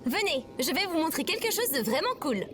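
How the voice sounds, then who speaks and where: A woman's voice: very high-pitched, sing-song, brisk, very noisy. A woman playing a sarcastic, chirpy female AI companion, formal, precise and playfully bossy, in an acted audio comedy. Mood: bossy teasing.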